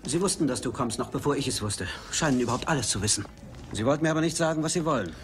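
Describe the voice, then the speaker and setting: A man plays an indulgent, gentlemanly museum curator in a film dub. He speaks politely at a middle pitch, with a gently ironic, friendly manner. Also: expressive, very fast, soft background music, lightly acted. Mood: indulgent, amused. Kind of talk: German film dubbing.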